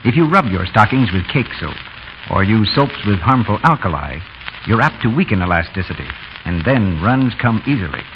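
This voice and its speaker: Hiss and muffling mark this old radio broadcast. A man announces in a lowish voice with very lively intonation. His delivery is polished, scripted and salesmanlike. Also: normal speed. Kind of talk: scripted 1930s radio advertising. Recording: dull, noisy 1930s radio.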